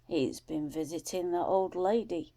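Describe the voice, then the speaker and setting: A woman voicing a stern, gruff father in an audiobook story, speaking emphatically and scolding. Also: lowish voice, measured pace, warm tone. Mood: disapproving. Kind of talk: acted audiobook dialogue.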